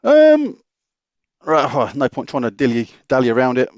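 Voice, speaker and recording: A man's voice: medium pitch, wildly swooping pitch, normal speed, a little mellow, no echo, highs cut off. A middle-aged British man hosting a gaming channel, casually answering viewer questions in an open, matter-of-fact way that rambles and restarts. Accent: British English. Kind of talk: casual Q&A chat.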